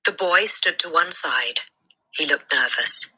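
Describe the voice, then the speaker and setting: A woman reading a children's story aloud as a teacher, in expressive scripted narration. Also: sits low, a little slow, slightly rough, poor audio.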